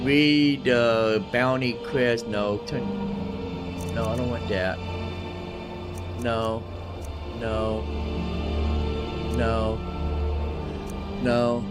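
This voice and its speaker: A man with a medium-pitched voice talks through the game he is playing. He thinks out loud casually, circling back and repeating phrases as he decides what to do. Music plays clearly behind his voice. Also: fairly even intonation, extremely slow, pauses often. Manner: rambling, thinking aloud, repeating himself. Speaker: gamer narrating own playthrough. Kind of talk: casual gameplay commentary.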